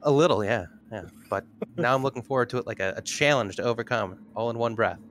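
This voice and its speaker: A man's voice: medium pitch, fast talker, fairly bright tone, a little hiss or hum. A man chatting casually and jokily as a tabletop game player. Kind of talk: casual chat.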